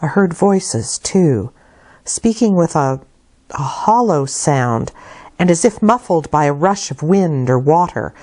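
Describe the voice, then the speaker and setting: Low-voiced woman reading a classic novel. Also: expressive, slow, darkish tone. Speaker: audiobook reader. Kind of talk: expressive scripted literary narration.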